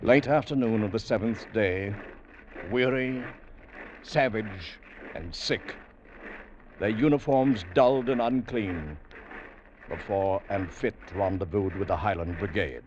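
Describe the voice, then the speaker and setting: A man narrates an old radio adventure, measured and dramatic. His voice sits low. The sound is dull with a faint hiss. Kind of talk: scripted narration, 1940s radio.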